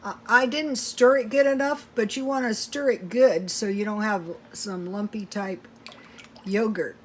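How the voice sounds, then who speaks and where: A woman's voice: mid-range voice, fairly even intonation, unhurried. A woman chatting casually to viewers while cooking at home, thinking aloud in a rambling, folksy way. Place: home kitchen.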